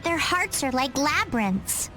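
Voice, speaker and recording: High, quaintly solemn game youngster. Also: female voice, very animated, measured pace, pushed and bright, faint music behind, exaggerated voice. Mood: philosophical. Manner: theatrical, quaint phrasing. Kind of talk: English anime game dub acting.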